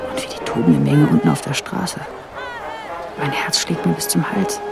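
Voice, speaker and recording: Young woman narrator, creaky voice, radio play. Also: low voice, normal speed, over loud music, a loud crowd. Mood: observant, intense. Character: Rita, young German woman working on Egyptian rockets, observant narrator.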